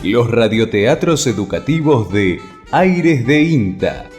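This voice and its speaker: A man delivers a ceremonial radio introduction. His voice is medium in pitch, with guitar music clearly heard behind it. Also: big pitch swings, slow. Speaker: announcer of radio plays.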